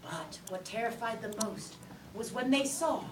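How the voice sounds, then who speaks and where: A woman's voice: a little high, unhurried, a little hiss or hum. A woman leading a kindergarten puppet show, telling the story in a theatrical, sing-song way and prompting the children to join in. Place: classroom. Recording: echoey classroom, far from the mic, music behind.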